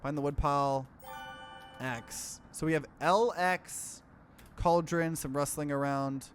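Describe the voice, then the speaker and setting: A man's voice: fairly high pitch, measured pace, soft background music. A man hosting a let's play, reading visual novel narration aloud and adding casual commentary. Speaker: gaming let's play host.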